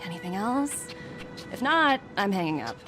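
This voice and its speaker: Young executive, proud, guarded, curt, mid-pitched, dramatic game dialogue with music. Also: female voice, big pitch swings, normal speed. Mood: irritated.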